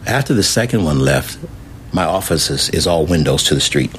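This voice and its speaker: A man, likely a pastor, telling an animated anecdote in an interview. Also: a bass voice, a little fast, slight background noise. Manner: animated storytelling.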